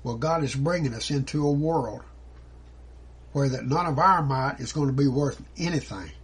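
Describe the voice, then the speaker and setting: A man's voice: mid-range voice, normal speed, breathy edge. A man preaching informally, reading scripture verses and explaining them in a folksy, conversational way. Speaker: preacher and Bible teacher.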